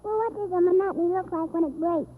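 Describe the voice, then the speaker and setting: A man's voice: extremely high pitch, quick pace, a little hiss or hum, pitched above the performer's own voice. A man voicing a cheeky, innocent, literal-minded little girl in a 1930s radio comedy act, full of naive questions. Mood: puzzled. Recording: tinny 1930s radio recording, music.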